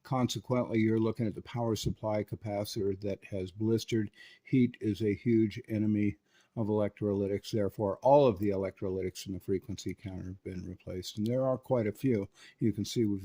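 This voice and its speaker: A man with a fairly low voice talks through a hands-on equipment demonstration. He explains things in a methodical, technical way, speaking off the cuff as he works. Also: steady tone, moderate pace, dark tone. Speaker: radio restorer demonstrating equipment.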